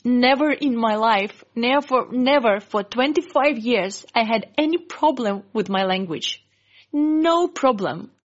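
Slow-speaking woman, earnest. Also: a little high, lively intonation, dull audio. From Ukraine. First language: Russian. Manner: earnest, halting non-native English. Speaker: interviewee. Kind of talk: emotional interview.

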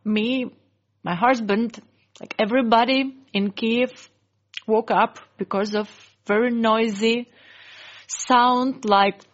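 A woman, a Russian speaker, telling her story in earnest, halting English in an interview. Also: on the high side, muffled recording. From Ukraine. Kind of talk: emotional interview.

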